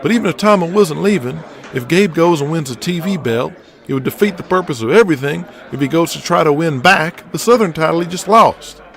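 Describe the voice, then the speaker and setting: A foul-mouthed, fretful wrestling booker, acted by a man in an audio drama. His voice sits fairly high and moves with lively, blunt emphasis. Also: brisk, vocal fry, hardly any pauses. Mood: pondering.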